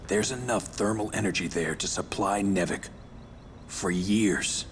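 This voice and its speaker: A steady military strategist in a video game. His voice is very low and his delivery measured and explanatory, with faint noise behind it. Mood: calm, explaining. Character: steady, level-headed male strategist on a military team. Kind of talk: video game cutscene acting.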